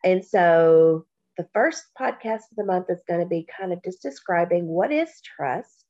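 A woman from Kentucky co-hosting a podcast, talking slowly and reflectively, with a stuffy nose from allergies. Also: fairly low pitch, animated, lo-fi sound. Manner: slow, warm, reflective, with many pauses and fillers. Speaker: podcast co-host. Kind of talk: casual podcast chat.

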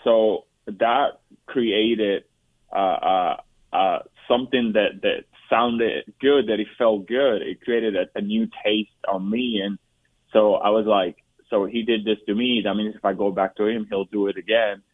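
A man from Puerto Rico in his forties, a guest telling his personal story in an interview, talking haltingly with frequent restarts and fillers. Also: lowish voice, fairly even intonation, no room sound. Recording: dry, tinny phone-like line.

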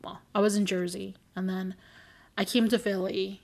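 A woman's voice: mid-range voice, little pitch movement, moderate pace, gravelly, a little mellow. Chatty rambling young woman, giggly. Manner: rambling, giggly, self-correcting. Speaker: podcast co-host. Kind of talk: casual chat.

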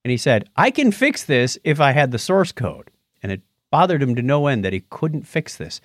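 A man's voice: mid-range voice, big pitch swings, moderate pace, dry recording, studio quality. A middle-aged man co-hosting a podcast, explaining and retelling things in casual tech chat. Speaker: podcast co-host.

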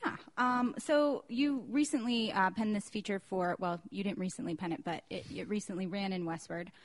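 An American woman hosts a local podcast in a conversational way. Her voice sits at an ordinary pitch for a woman, and she moves between introducing items and casual chat. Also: normal speed. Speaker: podcast host.

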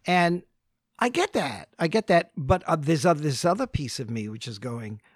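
A man's voice: fairly high pitch, expressive, moderate pace, husky, no echo, clean studio sound. Thoughtful storytelling podcast man. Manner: storytelling, thoughtful, many restarts. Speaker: podcast co-host. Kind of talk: casual podcast conversation.

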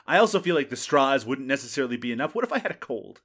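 A man's voice: medium pitch, big pitch swings, racing, a bit bright. Wry, swearing man reviewing movies. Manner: laughing, profane, wry. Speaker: podcast co-host. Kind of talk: casual movie review chat.

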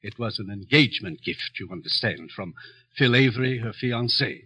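An evasive middle-aged gentleman in a 1950s radio mystery. His low voice is husky and sharp-edged, rising and falling a lot as he stammers politely around the point. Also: expressive, normal speed, exaggerated voice. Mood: flustered, evasive. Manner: hesitant, stammering, overly polite. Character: nervous, evasive, genteel uncle of an heiress. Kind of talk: 1950s radio drama acting.

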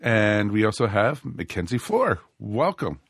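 A man's voice: fairly low pitch, very animated, a little slow, fairly bright tone, husky, dry recording, studio quality. A man hosting a casual live podcast, a little scratchy from a cold, rambling with lots of fillers. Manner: rambling, many fillers and restarts. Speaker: podcast host.

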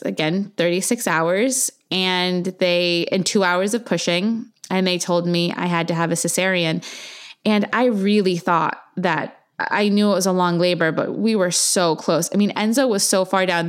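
A woman in her thirties, born in Argentina and raised in the U.S., candidly recounting her son's birth in an earnest, reflective monologue. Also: on the low side. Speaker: mother telling her birth story. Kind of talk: personal storytelling monologue.

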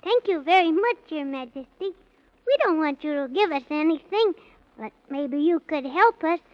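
A woman's voice: sits high, animated, moderate pace, no echo. A woman playing a polite, eager six-year-old boy in a 1930s children's radio serial. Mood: polite, grateful. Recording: muffled, faintly noisy 1930s radio recording.

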